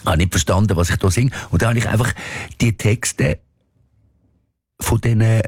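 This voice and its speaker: A male musician with a Swiss German accent, speaking haltingly and searching for words as an interview guest. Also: fairly low pitch, slightly rough, no room sound. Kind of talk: casual interview conversation.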